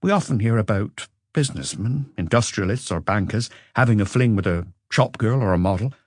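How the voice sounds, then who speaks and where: A man voicing an educated Frenchman who looks back on a passionate marriage with regret, in a measured, confessional audiobook reading. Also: lowish voice, expressive, no echo, clean studio sound, voice pushed up. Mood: reflective, candid. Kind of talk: audiobook character dialogue.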